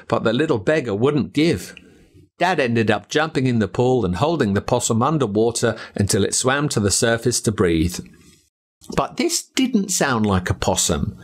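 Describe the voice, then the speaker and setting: A man with an Australian accent narrating a spooky children's story in an expressive, suspenseful, first-person storytelling manner. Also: mid-range voice. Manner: expressive, suspenseful storytelling as a boy recalling events. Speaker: audiobook narrator.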